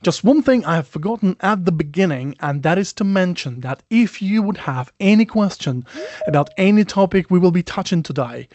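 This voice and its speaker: A man's voice: high voice, animated, moderate pace, a little mellow, dry recording, highs cut off. A man who is not a native English speaker, giving a chatty, self-deprecating webinar presentation over a video call, often saying "you know". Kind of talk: conversational product presentation.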